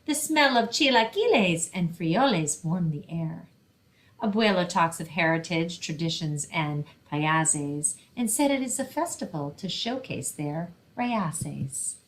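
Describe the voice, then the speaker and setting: Lower-pitched woman reading to children. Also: lively intonation, a little slow. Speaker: librarian hosting a children's read-aloud. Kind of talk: picture-book read-aloud and simple explaining for children.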